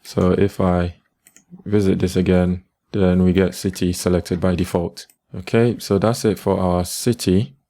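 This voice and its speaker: A man giving a step-by-step coding tutorial as an instructor, calm, matter-of-fact and methodical. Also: low-pitched, a little slow.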